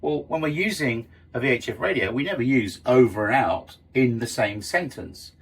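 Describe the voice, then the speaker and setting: Middle-aged man instructor, slightly rough voice. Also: medium pitch, moderate pace, fairly bright tone. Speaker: sailing instructor. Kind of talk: informal instructional explanation with demonstration.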